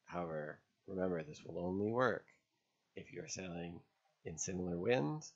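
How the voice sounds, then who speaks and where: A man narrating an instructional lesson as an instructor, explaining step by step in a measured way with pauses. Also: sits low, gravelly, breathy edge, muffled recording. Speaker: sailing instructor. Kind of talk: instructional narration over drawn diagrams.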